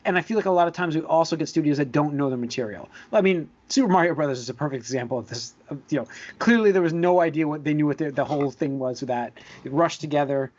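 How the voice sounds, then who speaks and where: A man's voice: fairly high pitch, quick pace, few pauses, darkish tone. A man co-hosting a casual podcast, stating his views firmly in an opinionated way. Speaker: podcast co-host. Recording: dull, lo-fi audio.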